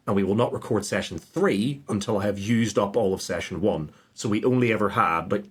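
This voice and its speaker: A man's voice: on the low side, breathy edge. A man giving casual, informal answers as a podcast guest, with a self-deprecating way of talking. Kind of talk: casual conversational interview answers.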